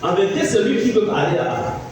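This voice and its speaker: A man's voice: high-pitched, fast, background noise. A pastor in his fifties preaching a sermon, emphatic, repeating phrases and putting questions to the congregation. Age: 50s. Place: church. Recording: echoey church sound from a distant mic with music.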